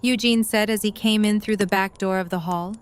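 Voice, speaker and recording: A woman's voice: medium pitch, fairly even intonation, brisk, slight background noise. A woman reading scripted third-person narration for an audio drama.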